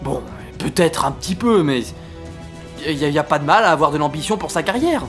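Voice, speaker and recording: A man's voice: on the high side, expressive, a little fast, fairly bright tone, faint music behind. A man playing a vain, dithering army captain. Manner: hesitant, defensive, self-important. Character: vain ambitious French WWI captain, indecisive. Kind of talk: amateur comedy audio drama.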